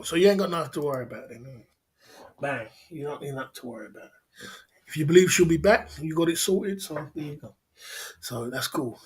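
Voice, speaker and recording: A man, casually hosting a livestream, rambling and laughing often as he answers viewers. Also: fairly high pitch. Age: 50s. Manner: rambling, laughs often, repeats filler phrases. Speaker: livestream host. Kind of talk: casual livestream chat reading viewer comments.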